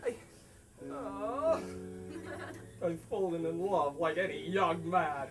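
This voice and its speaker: A man's voice: fairly high pitch, expressive. A man playing a stammering, blustering lovestruck landowner. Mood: lovesick, rambling. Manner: stammering, halting, bursting into shouts. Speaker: student stage actor. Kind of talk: theatrical comic stage acting. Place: theatre stage, recorded from the audience. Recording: distant stage microphone, music behind.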